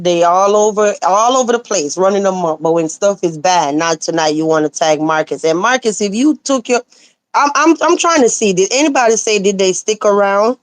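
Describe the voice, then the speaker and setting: Sassy woman streamer, slightly hoarse. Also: medium pitch, dry recording. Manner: animated, sassy, talks back to chat comments. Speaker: livestreamer reading chat. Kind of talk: casual live commentary.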